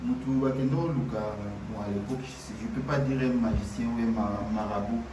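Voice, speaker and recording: A man from Kinshasa, talking hesitantly as a guest musician in an interview. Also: medium pitch. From Kinshasa, Congo. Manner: hesitant, halting, many fillers. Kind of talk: casual interview talk. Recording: distant echoey noisy remote line.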